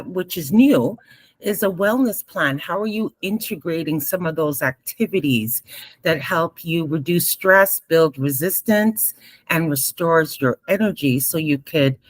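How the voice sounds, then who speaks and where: Composed, low-voiced woman therapist. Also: no room sound. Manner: composed, polished self-introduction. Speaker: psychotherapist guest.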